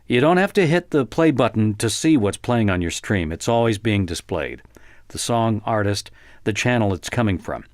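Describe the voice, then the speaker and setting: An American man narrating a software tutorial conversationally, explaining step by step in an informal, encouraging way. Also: medium pitch, dry recording, clean studio sound.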